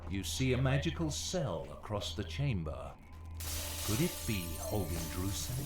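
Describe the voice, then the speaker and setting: A low-voiced man gives dramatic, scripted narration of a fantasy game's scenes. His voice rings in an echoey space with game music playing clearly behind it. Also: very animated, measured pace.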